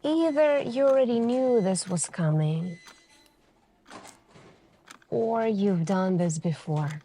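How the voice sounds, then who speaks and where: A woman doing an ASMR roleplay as a suspicious female detective, questioning in a deliberate, pointed way with many pauses. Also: mid-range voice, animated, warm tone, a little hiss or hum. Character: calm, suspicious, probing female police detective. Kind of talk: ASMR interrogation roleplay, slow deliberate delivery.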